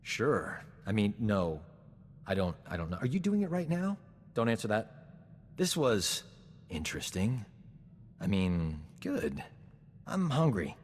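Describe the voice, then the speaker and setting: A man's voice: lowish voice, big pitch swings, measured pace, pauses often. A boastful, charming rogue of a doctor for a game cutscene. He talks in a cocky, self-admiring voice, with long reverb and some noise around it. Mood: flustered. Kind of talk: video game cutscene acting.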